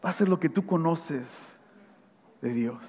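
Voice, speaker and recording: A man preaching an animated sermon, swinging between loud excited voices and soft hushed lines. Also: on the high side, unhurried. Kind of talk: animated sermon with acted-out anecdotes. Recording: long hall reverb.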